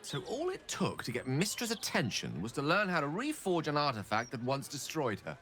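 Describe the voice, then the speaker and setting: An actor playing an eloquent, proud wizard in a video game, articulate, wry and earnest. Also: male voice, fairly high pitch, huge pitch swings, normal speed, a bit bright, a little hiss or hum. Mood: wry, indignant. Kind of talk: naturalistic video game acting.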